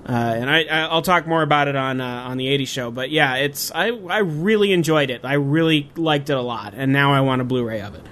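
A man's voice: mid-range voice, fairly quick, hardly any pauses, fairly bright tone. A man in his thirties co-hosting a casual podcast, rambling with restarts and fillers and swearing now and then. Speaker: podcast co-host. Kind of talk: casual chat.

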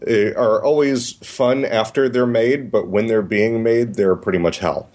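Low-voiced, thoughtful male co-host. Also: steady tone, unhurried, a little mellow. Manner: hesitant, many restarts, thoughtful. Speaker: podcast co-host. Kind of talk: casual podcast chat.

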